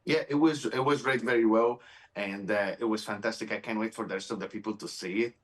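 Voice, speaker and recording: A man's voice: fairly low pitch, fairly even intonation, fairly quick. A man working as an entertainment interviewer at a press junket, casual, enthusiastic and complimentary. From Egypt.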